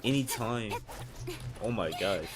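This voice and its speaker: A man's voice: fairly low pitch, slow. A man giving casual, chatty commentary while he plays a video game. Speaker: gamer. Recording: game music behind the voice. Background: video game music.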